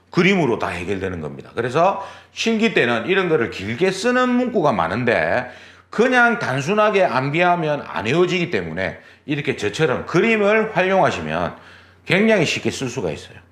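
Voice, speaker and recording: A man teaching a certification exam class, his pitch rising and falling widely as he walks through each step. He repeats key terms, points at his drawing, and checks that students follow. The room is echoey, and his voice sits slightly high. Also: fairly bright tone. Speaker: exam prep instructor. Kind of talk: lecture, drawing on a board.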